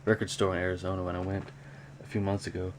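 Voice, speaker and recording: A man talking casually and unscripted in a hobby show-and-tell vlog, with a rambling, halting delivery. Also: low-pitched, level tone. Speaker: hobby vlogger, cassette collector. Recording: clear background noise.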